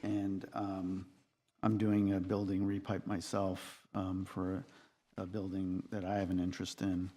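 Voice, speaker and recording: A man's voice: low-pitched, completely flat delivery, measured pace, mellow. A man on a city committee, pressing an applicant with detailed, insistent questions and cutting in to make his point. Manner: probing, insistent, interrupts. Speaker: committee member. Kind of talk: questioning at a public meeting. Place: city council chamber.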